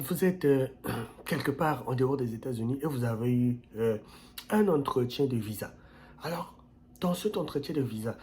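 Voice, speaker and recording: A man hosting his own channel, giving earnest advice in a casual way, repeating key phrases and jumping up in pitch on stressed words. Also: darkish tone, slight background noise. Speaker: YouTube channel host.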